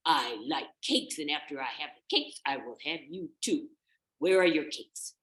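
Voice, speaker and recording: Woman playing a slow, scheming hungry wolf. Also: deep voice, a bit bright. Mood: hungry menace. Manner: gloating, menacing, practising a put-on sweet voice. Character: hungry, scheming big bad male wolf.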